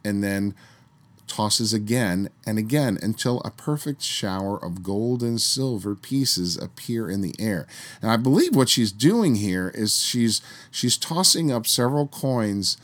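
Man hosting a history podcast, hoarse from a cold. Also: on the low side. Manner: conversational, with fillers and restarts while recounting history. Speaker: podcast host. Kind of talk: solo history podcast narration.

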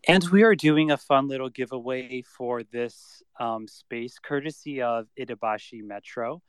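A man's voice: medium pitch, a little slow, clear, resonant tone, dry recording. A man hosting a podcast, opening with an upbeat scripted intro and then chatting casually. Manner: upbeat announcer delivery in the intro, relaxed after. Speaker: host.

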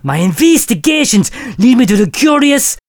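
A male comedy host reads a listener letter with exaggerated, sermon-like gravity. His pitch swings widely and he stretches his words out. He keeps cracking up partway through lines. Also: big pitch swings, gravelly, noisy background. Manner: drawn-out, preacherly delivery breaking into laughter. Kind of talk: mock-solemn comic letter reading.

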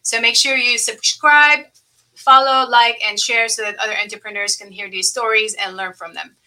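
A woman from Lebanon hosts a live podcast, her voice bright and piercing and sitting a little high. She talks in a loose, chatty way, full of ums and self-corrections. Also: fairly even intonation, moderate pace, low-quality recording. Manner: chatty, many ums and restarts. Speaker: podcast host. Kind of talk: casual live podcast interview.

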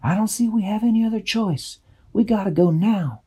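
A man's voice: sits high, moderate pace, mellow, breathy edge, pitched above the performer's own voice. Man doing a brave little flying girl. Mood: worried. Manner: earnest, worried, urgent.

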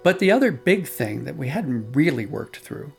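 A man's voice: mid-range voice, sing-song, moderate pace, creaky, faint music behind. A man being interviewed as an audio drama producer, explaining conversationally and telling anecdotes with quoted dialogue.

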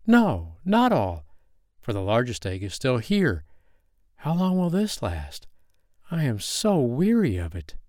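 A man's voice: medium pitch, huge pitch swings, takes it slowly, mellow, no room sound, clean studio sound. A man voicing a fussy, weary mother duck in a storybook reading, fretful and motherly.

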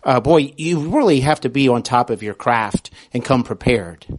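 A man hosting a podcast, asking questions in a casual, somewhat halting interview style. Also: medium pitch, animated, a little hiss or hum.

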